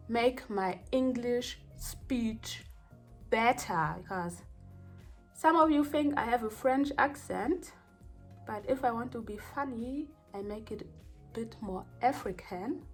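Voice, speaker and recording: A woman with a strong German accent chatting casually in a vlog, rambling and pausing with fillers as she talks. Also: mid-range voice. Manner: unhurried, rambling, with many pauses and fillers. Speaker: hair vlogger. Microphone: voiceover microphone.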